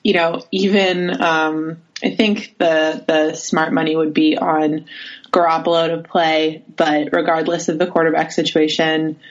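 A female sports reporter with a fairly low voice, heard over a slightly muffled, lo-fi connection. She gives casual analysis as a guest, pausing and restarting as she thinks her way through each point. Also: little pitch movement.

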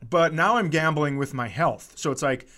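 A middle-aged American man chatting casually as a comedy podcast host, with an animated, profane, ranting manner. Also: on the high side, moderate pace.

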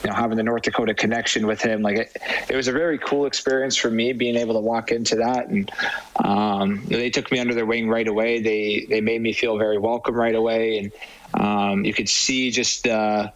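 A man's voice: lowish voice, little pitch movement, brisk, rough recording. A man in his twenties who plays pro hockey, answering casually as an interview guest. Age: 20s.

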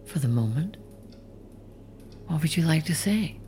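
An actor playing a calm, menacing leader of a radical movement in an audio drama, speaking deliberately and rhetorically. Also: male voice, on the high side, slight background noise. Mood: calm, challenging.

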